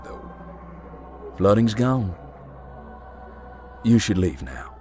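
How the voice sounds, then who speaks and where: A man playing a stoic, guarded haunting expert in a video game, terse and matter-of-fact. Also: low voice.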